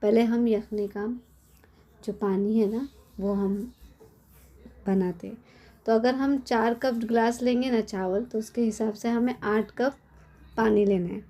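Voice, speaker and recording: A middle-aged woman with a hoarse, cold-worn voice, chatting casually as she explains a home recipe step by step in her kitchen. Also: on the high side, steady tone, a little slow, long pauses, mellow. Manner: chatty, explains step by step with frequent tag questions. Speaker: cooking channel host. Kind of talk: casual cooking tutorial.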